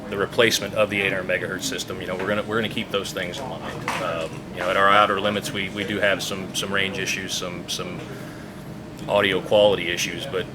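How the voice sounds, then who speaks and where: A man giving unscripted interview answers as an official, explaining things in a halting, measured way. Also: deep voice, flat delivery, a crowd in the background, low-quality recording. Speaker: public safety radio official being interviewed.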